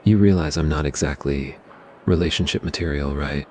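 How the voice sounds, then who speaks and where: A man's voice: very deep voice, normal speed, a little mellow, a little hiss or hum. Man voicing a cocky mafia boss. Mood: flustered. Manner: smug, teasing, turns flustered. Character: cocky possessive young male mafia boss. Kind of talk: ASMR roleplay.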